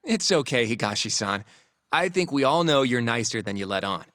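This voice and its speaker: An actor playing a polite, earnest young man who talks courteously with a gentle teasing edge. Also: medium pitch, big pitch swings, normal speed, no room sound, studio quality. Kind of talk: video game cutscene voice acting.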